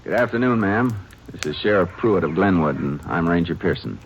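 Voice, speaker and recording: An actor playing a calm, plain-spoken Texas Ranger who also narrates, in a 1950s radio drama. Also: male voice, low-pitched, fairly quick, noisy background. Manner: calm, laconic, matter-of-fact. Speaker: lead and narrator. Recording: dull old radio broadcast recording.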